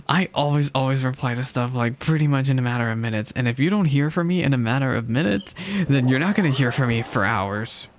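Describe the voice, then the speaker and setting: Lively, exclamatory male game streamer. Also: medium pitch, fairly quick, slight background noise. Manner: exclamatory, playful, slangy. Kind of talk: casual live gameplay commentary. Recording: game music faintly behind. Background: game music and dialogue.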